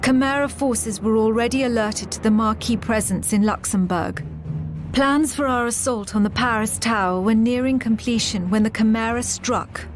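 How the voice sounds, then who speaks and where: A woman's voice: fairly high pitch, normal speed, lightly acted. A woman narrating a war game as a sober resistance officer recounting events in scripted style, over music. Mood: grave, measured. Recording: loud music behind. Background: loud music.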